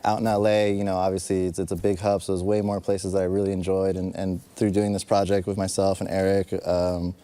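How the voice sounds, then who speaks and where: A man's voice: deep voice, little pitch movement, fairly quick, hardly any pauses, dark tone. A young man from the Bay Area, a filmmaker speaking as a guest on a live panel in an easygoing, enthusiastic, loosely worded way. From San Francisco Bay Area.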